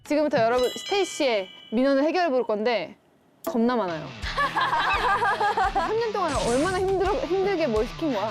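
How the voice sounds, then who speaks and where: A woman's voice: high-pitched, sing-song, moderate pace, loud music behind the voice. A young woman hosting a variety show interview, talking playfully and teasing her guests. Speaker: host.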